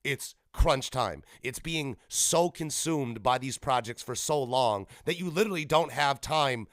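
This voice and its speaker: A man's voice: medium pitch, measured pace, no echo, studio quality. Rambling man hosting a gaming podcast. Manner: rambling, self-correcting, casual and animated. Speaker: podcast host. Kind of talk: solo gaming news podcast. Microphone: podcast microphone.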